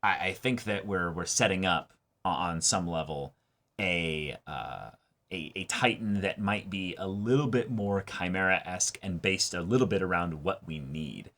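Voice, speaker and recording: A man's voice: deep voice, unhurried, a bit bright. A man co-hosting a reaction show, talking in casual, emphatic fan analysis with repeated phrases. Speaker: co-host of an anime reaction show. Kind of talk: casual chat, fan analysis of an anime episode.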